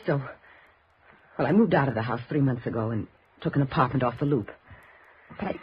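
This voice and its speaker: A dull, hissy vintage radio recording. a grieving woman, wounded and full of suspicion. She speaks haltingly and insistently, her pitch swinging widely with feeling. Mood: hurt, hesitant. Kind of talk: 1950s radio drama acting.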